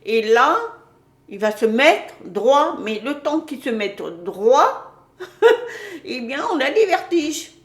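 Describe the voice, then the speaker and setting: Slow, high-voiced woman with lots of ums. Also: big pitch swings, echoey room. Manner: hesitant, many fillers and restarts. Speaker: spiritual channel host. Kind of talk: casual spiritual talk to viewers.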